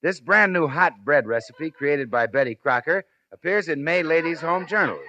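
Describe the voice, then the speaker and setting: A man's voice: fairly high pitch, animated, normal speed, sharp tone, lo-fi sound. An American man reading a 1950s radio advertisement in a folksy, persuasive sales style. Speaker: radio announcer. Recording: noisy 1950s radio broadcast.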